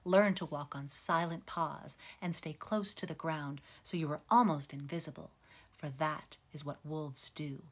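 A woman voicing a gentle, wise male wolf who teaches cubs, speaking slowly and often in a hushed whisper. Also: low voice, breathy edge. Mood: calm, hushed.

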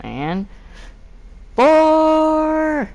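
Woman with wildly swinging pitch, slow delivery. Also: big pitch swings, a little mellow, a little hiss or hum. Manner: exclamatory, clipped catchphrases. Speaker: gaming video creator. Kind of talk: playful improvised voice-over of game character animations.

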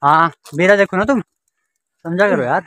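A man's voice: high voice, unhurried, bright, forceful tone. Chatty young man vlogging outdoors. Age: young adult. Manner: chatty, calls viewers brother constantly. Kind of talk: casual travel vlog. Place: outdoors on mountain roads and trails. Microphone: phone or helmet camera. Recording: wind and traffic noise outdoors.

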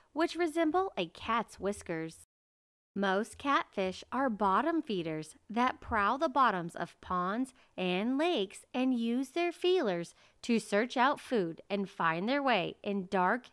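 A woman reading a children's nature picture book aloud as narrator, in a measured, carefully enunciated way. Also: medium pitch, fairly bright tone, clean tone, no room sound, studio quality.

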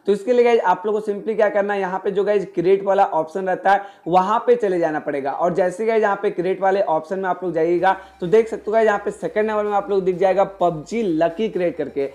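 High-pitched man explaining game events. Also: darkish tone. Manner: repetitive, addresses viewers constantly as guys. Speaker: gaming video host.

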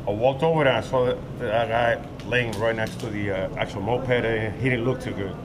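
A man's voice: mid-range voice, normal speed. An older man, a witness telling what he saw in a hesitant street interview outdoors. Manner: plain, hesitant retelling with fillers. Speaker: eyewitness. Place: outdoor covered sidewalk by a street. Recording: street noise behind.

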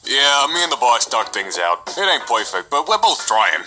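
A man voicing a rough, slangy skeleton underling who is blunt but grudgingly friendly, in a comic dub. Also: medium pitch, very animated, moderate pace, music in the background, close to an everyday voice. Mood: relieved. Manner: informal, blunt. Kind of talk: fan comic dub acting.

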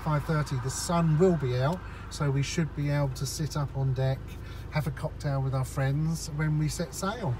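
A man's voice: a little high, fairly even intonation, normal speed. A man vlogging while he drives, chatting to viewers and explaining each step as he goes. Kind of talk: casual narration while driving. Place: inside a car in traffic. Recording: in-car recording with road noise.

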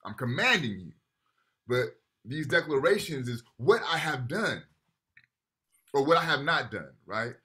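A man's voice: medium pitch, sing-song, a little slow, long pauses, bright, forceful tone. A man teaching a university class over a video call, talking casually and often checking in with "right?" while reading texts aloud. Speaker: lecturer.

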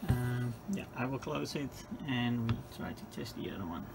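Gravelly-voiced man explaining gear. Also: medium pitch, expressive, normal speed. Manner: hesitant, unscripted explaining. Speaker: host demonstrating radio equipment. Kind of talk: informal hands-on demonstration. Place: indoor electronics lab bench. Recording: noticeable background noise in workshop.

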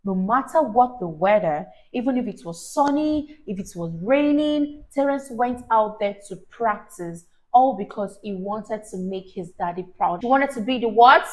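A young woman reading a story aloud to a child in an expressive, encouraging, teacherly way, often prompting with questions. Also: medium pitch, normal speed, hardly any pauses, rough recording. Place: small indoor room on a sofa. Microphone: lapel mic.

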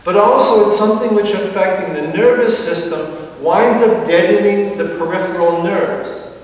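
A middle-aged man preaching a sermon from a church pulpit, explaining at an easy pace with slow, emphatic phrases and a dry touch of humor. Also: sits high. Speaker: priest. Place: large church sanctuary, at a pulpit. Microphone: far camera. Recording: echoey church from far off.

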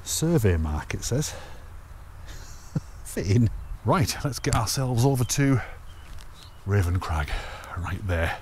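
A man in his thirties with a lowish voice talks into a handheld camera while walking outdoors. He is chatty and enthusiastic. Faint outdoor ambience sits behind him. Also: sing-song, slow, a little mellow, slight background noise. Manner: chatty, enthusiastic, laughs to himself. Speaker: hiking vlogger. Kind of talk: casual walking commentary. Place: outdoors on open fells and woodland paths. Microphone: handheld camera at arm's length.

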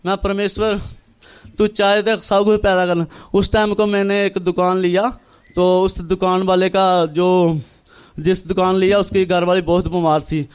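A young man from northern India tells his religious testimony earnestly, in halting, repetitive phrases, acting out remembered dialogue. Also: high-pitched, flat delivery, clean tone. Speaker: church member giving testimony. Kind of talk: personal religious testimony, storytelling.

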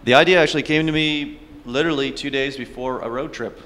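A man's voice: mid-range voice, quick pace, fairly bright tone. A man in his thirties from Canada, a film director answering interview questions with dry, understated humor. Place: festival press wall, indoors. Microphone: host's handheld mic. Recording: reverberant hall.

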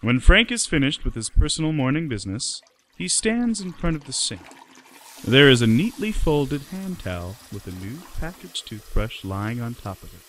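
A man's voice: mid-range voice, sing-song, moderate pace, a bit bright, slight background noise. A man giving scripted narration for a radio play.

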